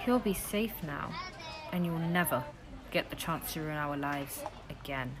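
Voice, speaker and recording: A woman's voice: sits low, measured pace. Woman playing a knowing, insistent young schemer. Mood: cold. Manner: probing, insistent. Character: knowing, secretly scheming young woman, guide in fairytale world. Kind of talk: audio drama acting.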